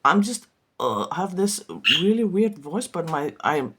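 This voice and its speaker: A woman talks casually to the camera, her voice croaky and scratchy with hoarseness. She chats in a relaxed, self-deprecating way from her art studio. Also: lowish voice, measured pace. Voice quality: itchy, scratchy throat. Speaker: artist. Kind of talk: casual vlog chat to camera.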